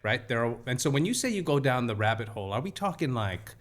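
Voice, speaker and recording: A man's voice: medium pitch, sing-song, quick pace. A man hosting a podcast in casual chat, teasing and probing with questions and swearing freely. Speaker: podcast host.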